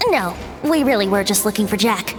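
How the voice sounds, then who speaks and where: An actress voicing a breezy, playful youthful bard in an anime game, with a light, boyish voice and teasing confidence. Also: female voice, mid-range voice, animated, brisk, music in the background, close to an everyday voice. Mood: dry. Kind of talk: scripted anime game voice acting.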